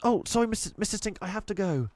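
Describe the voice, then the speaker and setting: A man's voice: high-pitched, expressive, fast, darkish tone, dry recording, voice pushed up. A man voicing a polite, anxious young girl in a storybook, hesitant and easily flustered.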